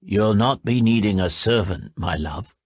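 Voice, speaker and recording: A man voicing a smooth, menacing young male envoy who speaks low, level and slow. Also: dry recording, studio quality. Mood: silkily menacing.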